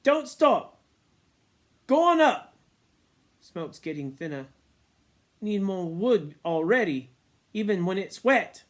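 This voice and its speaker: A man's voice: sits high, expressive, unhurried, voice pushed up. A man voicing an earnest boy leader who urges and commands the others. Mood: urgent. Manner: forceful, exhorting.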